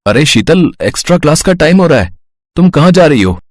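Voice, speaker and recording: A man playing a caring schoolboy friend in a dramatized story, earnest and subdued as he cautions gently. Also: fairly high pitch, very animated, fairly quick, no echo, clean studio sound. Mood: concerned. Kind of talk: dramatized Hindi moral story acting.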